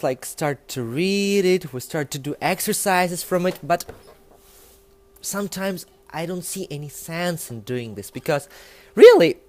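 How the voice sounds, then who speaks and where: A young man with a Russian accent, a native Russian speaker, talking to the camera in a casual, animated and emphatic way, with many fillers. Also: high-pitched. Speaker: language learning vlogger.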